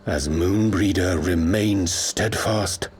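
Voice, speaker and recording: A man playing a solemn, sorrowful scholar in a fantasy game, speaking formal archaic English with measured gravity. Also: deep voice, a little mellow, faint music behind. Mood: anguished, resolute. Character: solemn, sorrowful male scholar torn by duty.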